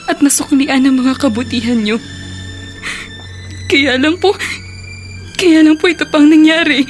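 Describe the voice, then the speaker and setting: A high-voiced, earnest Filipino schoolgirl. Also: unhurried, soft background music. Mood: troubled, earnest. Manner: hesitant, respectful, earnest. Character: earnest, dutiful young schoolgirl niece, troubled but grateful. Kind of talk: Filipino radio drama acting.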